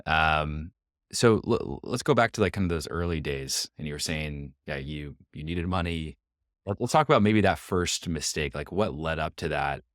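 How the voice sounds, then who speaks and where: A man's voice: very deep voice, moderate pace, fairly bright tone, no room sound, clean studio sound. A man hosting an interview, asking questions with hesitant restarts and frequent affirming yeahs. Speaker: podcast host.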